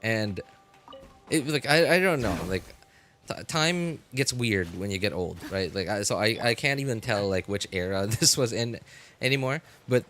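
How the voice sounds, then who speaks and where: A man's voice: lowish voice, expressive, normal speed, a bit bright, soft background music. A man in his thirties chatting casually with stream viewers, rambling with many fillers and restarts and chuckling often. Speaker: live game streamer.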